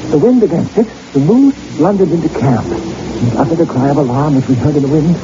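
A man plays a stubborn doctor in a vintage radio horror play, emphatic and theatrical, his fairly high voice swinging widely. He clings to reason with dread underneath. The old recording is muffled and noisy. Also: lively intonation, brisk, lightly acted. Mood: confidently explaining. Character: stubborn rationalizing male doctor, slowly unravelling into dread. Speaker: radio drama actor.

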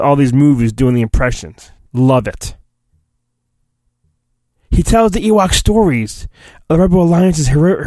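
Man, high-ish voice, lively intonation, theatrical storybook reading, sarcastic rambling asides. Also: no room sound. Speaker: solo podcast host. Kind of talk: mock-dramatic storybook reading with comic asides.

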